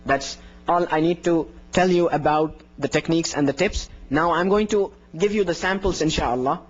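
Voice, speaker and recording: High-voiced man teaching adhan technique. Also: normal speed. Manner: earnest, encouraging, demonstrates vowel sounds aloud. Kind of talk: instructional lesson with slides. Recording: dull lo-fi recording.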